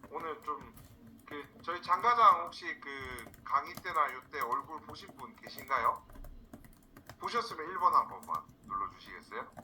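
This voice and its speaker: A man from South Korea teaching a live online class in an informal, halting way, repeating words for emphasis. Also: mid-range voice, bright, forceful tone, a little hiss or hum, rough recording. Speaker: instructor, marketing trainer. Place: indoors, online screen-share session.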